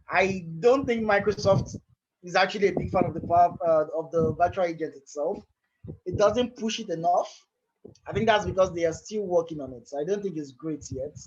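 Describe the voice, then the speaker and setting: Husky high-voiced man giving a tutorial. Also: highs cut off. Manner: loose, conversational, repeats and restarts phrases. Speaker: presenter. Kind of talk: live screen-share software tutorial.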